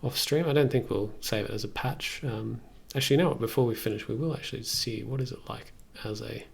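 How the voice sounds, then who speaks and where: A man in his thirties casually thinking aloud on a live tutorial stream in a halting, musing way. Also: mid-range voice, vocal fry, husky. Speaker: live streamer demonstrating synthesizer software. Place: indoor room at a computer desk. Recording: faint background noise.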